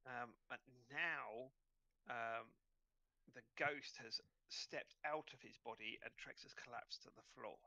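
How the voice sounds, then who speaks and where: A man acting as game master, narrating and moderating a tabletop game with halting pauses, on voice-chat audio. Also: medium pitch, bright, forceful tone, no room sound. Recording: dull, dry voice-chat audio.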